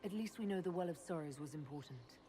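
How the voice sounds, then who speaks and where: A woman's voice: sits low, animated, fast talker, warm tone, a little hiss or hum. A woman playing a level-headed adventurer and leader in a fantasy game, speaking in a direct, questioning manner. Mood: curious, probing. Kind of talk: fantasy game dialogue acting. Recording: prominent music behind the voice.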